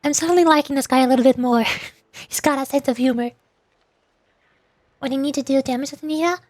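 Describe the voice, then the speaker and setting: A woman's voice: sits high, fairly even intonation, fast, a little mellow, no echo. A woman streaming a video game and chatting casually through gameplay commentary. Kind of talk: casual gaming livestream commentary.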